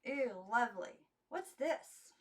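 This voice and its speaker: Woman voicing high, gushing, wide-eyed little girls. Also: animated. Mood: wide-eyed curiosity. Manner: gushing, drawn-out oohs and exclamations. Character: chorus of naive, wide-eyed, awestruck imaginary young girls.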